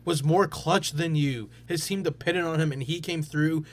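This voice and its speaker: A man's voice: a little high, moderate pace. Assertive young man debating sports. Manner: assertive, argues in bursts. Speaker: podcast co-host.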